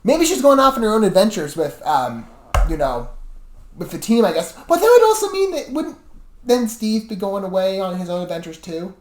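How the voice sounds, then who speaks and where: A woman reviewer talks casually and at length to her viewers, often correcting herself as she goes. Her intonation is very animated and jumps around, and the room adds a noticeable echo. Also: medium pitch, normal speed. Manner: animated, rambling, self-correcting. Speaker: reaction video host and reviewer. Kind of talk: casual rambling commentary to viewers. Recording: roomy, echoey room sound.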